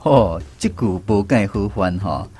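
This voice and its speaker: A man's voice: on the low side, lively intonation, a little slow, dark tone, faint music behind. A man with a Taiwanese accent hosting an educational language quiz on the radio. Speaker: radio host of a language segment.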